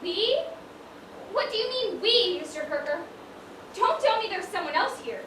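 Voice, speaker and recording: A woman's voice: very high-pitched, expressive, moderate pace, fairly bright tone. A woman on stage playing a prim, wistful widowed dress-shop owner, full of old-fashioned exclamations. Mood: indignant. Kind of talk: amateur stage acting, one-act school play. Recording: roomy stage, distant mic, clear noise.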